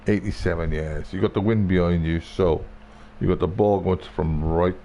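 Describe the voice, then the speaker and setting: A low-voiced man gives casual commentary on a live game stream. His voice has a gravelly creak, and he grumbles, curses and repeats phrases as he plays. A little noise sits behind him. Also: fairly even intonation, moderate pace, dark tone. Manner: grumbling, sweary, repeats himself.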